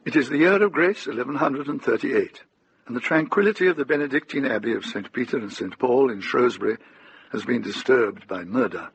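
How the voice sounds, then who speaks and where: An actor narrating a medieval mystery for radio in a measured, formal manner. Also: male voice, mid-range voice, gravelly, slightly rough, lo-fi sound. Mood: grave, measured. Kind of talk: scripted radio drama narration.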